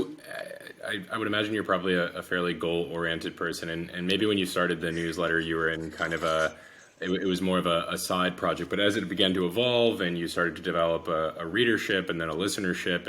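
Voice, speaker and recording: Low-voiced male interviewer, tentative phrasing. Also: fairly bright tone. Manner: tentative, rambling, rephrases questions. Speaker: podcast host interviewer. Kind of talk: casual interview conversation.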